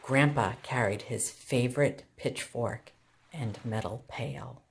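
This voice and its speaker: Slow, low-voiced woman reading a storybook. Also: gravelly, slight background noise. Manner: deliberate, expressive phrasing for young children. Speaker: children's storytime reader and teacher. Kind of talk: read-aloud of a children's picture book.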